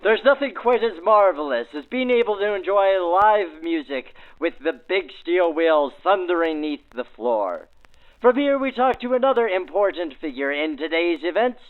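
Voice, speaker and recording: A man hosting with formal, florid announcer phrasing in the style of 1940s radio, through a vintage radio filter. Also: a little high. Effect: backdated 1940s radio filter. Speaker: host, interviewer. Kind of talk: scripted 1940s radio-style narration and interviews. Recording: thin, tinny vintage radio sound.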